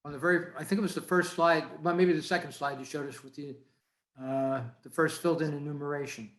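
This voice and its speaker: A man's voice: on the high side, fairly even intonation, fast talker, fairly bright tone. A man casually asking questions as a club member on an echoey video call.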